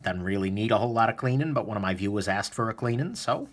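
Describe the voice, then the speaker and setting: A man hosting a casual knife disassembly video, chatty and relaxed with lots of fillers, a little sniffly from a cold. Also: on the low side, fast. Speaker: knife reviewer, host. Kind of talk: casual tutorial narration.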